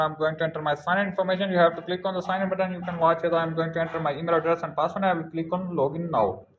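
Fast, flat-toned man narrating a tutorial. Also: high voice, highs cut off, a little hiss or hum. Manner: repetitive, formulaic walkthrough phrasing. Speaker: channel host. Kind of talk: screen-recording tutorial voice-over.

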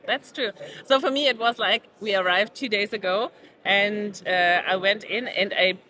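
A woman's voice: medium pitch, normal speed, pushed and bright. A woman in her thirties hosting a podcast interview in a casual, chatty way, leaning on words like "super" and "like". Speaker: podcast host. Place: busy indoor conference venue. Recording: conference crowd chatter behind.

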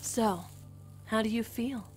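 A woman's voice: on the high side, takes it slowly, a little mellow. A woman playing a sly, smug witch in an improvised game roleplay, coy and hesitant, drawing out her teasing phrases. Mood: grudging, dry. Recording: music behind the voice. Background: background music.

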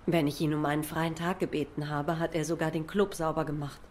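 Actress as a low-pitched, down-to-earth waitress. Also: female voice, fairly even intonation, brisk, darkish tone, slight background noise, close to an everyday voice. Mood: thoughtful, sympathetic. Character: obliging, down-to-earth cat waitress, young adult. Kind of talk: noir video game dialogue.

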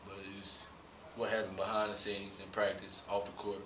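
A young man, a college basketball player, answering postgame questions at a press conference in a relaxed way, with many fillers. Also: fairly low pitch, normal speed. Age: young adult. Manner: relaxed, laughs easily, many "you know" fillers. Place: small press room. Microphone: table mic. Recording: roomy echo, distant mic, hum.

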